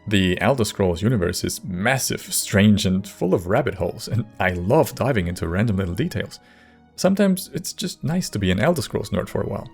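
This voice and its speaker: A man giving scripted video narration in a measured way with dry, wry humour. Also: lowish voice, vocal fry. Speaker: video creator and modder. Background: faint music.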